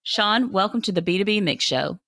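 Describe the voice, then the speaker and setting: A woman co-hosting a business podcast, her voice a little scratchy from a cold coming on. She reads the guest introduction in a measured way and then asks friendly questions. The sound is dry and close, with no room echo. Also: medium pitch, lively intonation, pushed and bright. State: slightly hoarse, coming down with a cold. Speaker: podcast co-host.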